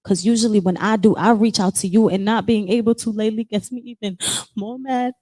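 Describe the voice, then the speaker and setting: A woman with a high, girlish voice, speaking softly and emotionally as she shares a personal story and reads a poem. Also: fairly even intonation, a little fast, no echo. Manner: soft-spoken, emotional, apologetic. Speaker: poet sharing at an open discussion. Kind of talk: personal sharing and poetry reading.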